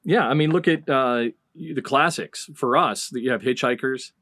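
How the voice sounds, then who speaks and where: A man's voice: medium pitch, normal speed, pushed and bright, dry recording, clean studio sound. An American man co-hosting a casual interview, wandering off and interrupting himself as he talks. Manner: digressive, self-interrupting. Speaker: podcast co-host, interviewer.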